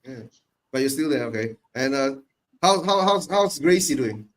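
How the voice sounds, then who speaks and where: A man's voice: medium pitch, animated, moderate pace, a bit bright. A man from Malaysia hosting a football watch-along, reacting to the match in short, repeated replies. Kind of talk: casual chat with live match reactions.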